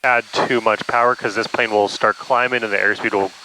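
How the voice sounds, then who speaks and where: Man, flight instructor, bright voice, patient step-by-step coaching over a cockpit headset. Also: lowish voice, a little fast, a little hiss or hum, low-quality recording. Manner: calm, explanatory, gives steady instructions. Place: small plane cockpit in flight. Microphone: aviation headset intercom.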